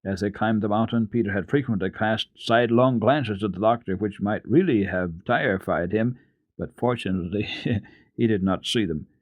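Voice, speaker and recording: A man giving a measured, dramatic reading of a children's story as a radio storyteller. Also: fairly low pitch, dry recording. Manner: expressive, measured storytelling delivery. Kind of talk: dramatic scripted narration of a children's book.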